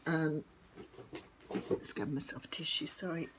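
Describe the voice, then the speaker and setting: An older Australian woman with a croaky morning voice, chatting casually and rambling through a tarot reading at home with lots of ums. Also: low-pitched, fairly even intonation, measured pace, noisy background. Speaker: tarot reader.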